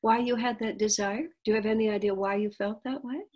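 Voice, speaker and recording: A woman's voice: fairly high pitch, steady tone, fairly quick, darkish tone. A woman interviewing her sister, asking curious, encouraging questions in a conversational style. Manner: curious, encouraging, many back-channel replies.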